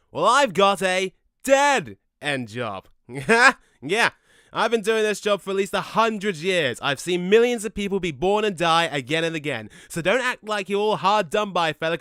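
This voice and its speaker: A man playing a laid-back but quick-tempered, cocky ghost in an audio drama, with a brash, slangy, matey way of talking. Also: high voice, pushed and bright, no echo, clean studio sound, full character voice. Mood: angry, ranting. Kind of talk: conversational audio drama acting.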